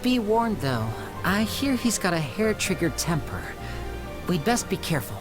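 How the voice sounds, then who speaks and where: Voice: mid-range voice, very animated, measured pace. A voice actor playing a charming young male stage magician in a video game, speaking politely and persuasively. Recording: game audio with music.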